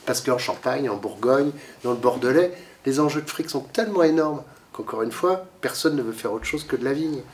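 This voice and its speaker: A man's voice: medium pitch, lively intonation, moderate pace, far from the mic, slight background noise. A man with a cold giving a talk to a library audience, lecturing with lots of fillers and restarts, coughing now and then. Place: library meeting room.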